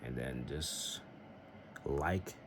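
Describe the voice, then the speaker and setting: A young man vlogging in a casual, earnest and somewhat rambling way, giving faith-based advice. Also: drawn-out words. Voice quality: very deep voice. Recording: noisy home recording.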